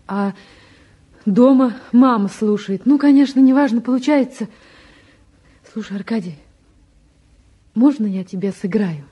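An actress playing a devoted, wistful young woman in a 1950s Russian stage drama, with a gentle, expressive, self-deprecating way of talking. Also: a little high, breathy edge, muffled recording. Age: young adult.

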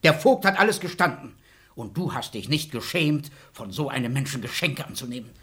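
An actor playing a grateful, wealthy baron and father, effusive and formal, with a husky voice. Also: medium pitch, animated, a little fast, a bit bright. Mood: stern. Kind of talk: radio play acting.

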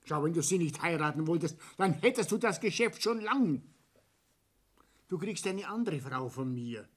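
A man's voice: a little high, lively intonation, normal speed. An actor playing a domineering, hot-tempered old Bavarian father and businessman in a radio play, blustering and commanding with a Bavarian accent. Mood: pleading, pressuring. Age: older. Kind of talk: German radio play acting.

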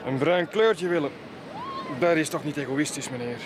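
An actor playing a sceptical young student worker in a radio play, terse and questioning. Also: male voice, fairly high pitch, moderate pace, natural voice. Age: young adult. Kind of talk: 1980s Flemish radio play acting. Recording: radio play with clear outdoor noise.

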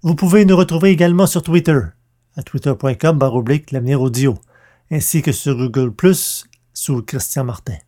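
A man's voice: medium pitch, moderate pace, warm tone. A man reading scripted podcast announcements as host.

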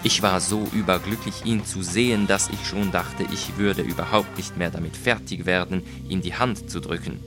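Low-pitched male story reader. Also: steady tone, moderate pace, few pauses, over music. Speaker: audiobook reader. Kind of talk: literary first-person story narration.

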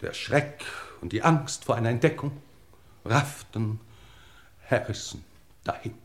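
An actor playing a genteel, middle-aged lord in a 1950s German radio play, formal, ornate and deliberate in his phrasing. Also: medium pitch, close to an everyday voice. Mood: insistent, formal. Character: genteel, world-weary English lord, wryly self-justifying.

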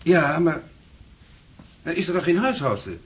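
A man's voice: on the high side, big pitch swings, measured pace. An actor playing an agitated husband in a Dutch radio play, indignant and questioning, then soothing to his wife. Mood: puzzled, insistent. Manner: questioning, insistent.

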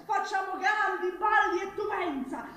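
An actress playing an excitable village woman with a southern Italian accent, telling gossip and tales in exclamatory, repetitive theatrical style. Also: very high-pitched, a little slow, full character voice. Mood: animated storytelling. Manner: exclamatory, repetitive, dramatic storytelling. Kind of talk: live theatrical storytelling. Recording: very echoey room.

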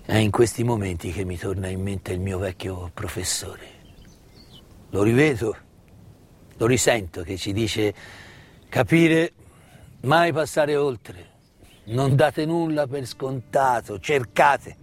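A man delivers a solemn theatrical monologue, with emphatic, rhetorical phrasing and deliberate pauses. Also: mid-range voice, slight background noise. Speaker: stage actor performing his own monologue. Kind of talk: dramatic theatrical monologue, solemn commemorative storytelling. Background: live musical accompaniment.

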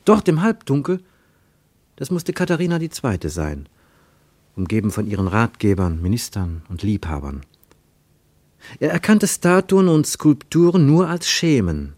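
A man narrating a literary radio play with measured, deliberate phrasing and dramatic pauses. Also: fairly low pitch, darkish tone, no room sound.

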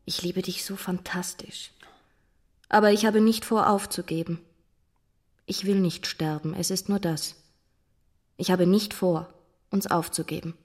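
An actress playing a young queen in love, tender yet defiant, in a German radio play, speaking softly, intimately and insistently. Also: on the low side, steady tone, measured pace, pauses often. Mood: tender, resolute.

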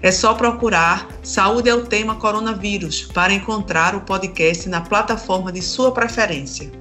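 A Brazilian woman hosts a radio interview program. Her voice is bright and forward at a middle pitch, delivering scripted introductions and questions. Faint music plays underneath. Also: normal speed. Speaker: radio host.

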